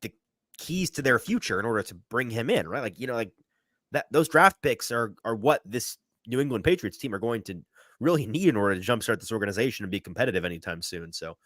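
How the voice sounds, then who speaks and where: A man's voice: lowish voice, fast, dry recording, studio quality. A man hosting a sports podcast, stuffy from a sinus infection, talking through his analysis with lots of restarts. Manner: animated, rambling analysis with many restarts. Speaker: podcast host.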